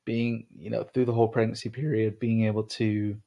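A man's voice: fairly low pitch, little pitch movement, fairly quick, vocal fry, dark tone, highs cut off. Rambling young man podcast host. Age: young adult. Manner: rambling, many ums and restarts. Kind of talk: casual chat with his wife.

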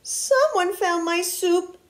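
A tiny, upset girl bear cub squeals in an extremely high voice. Her storybook complaints come out slow, drawn out and swooping into a wail. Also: lively intonation, a bit bright, full character voice. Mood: tearful complaint.

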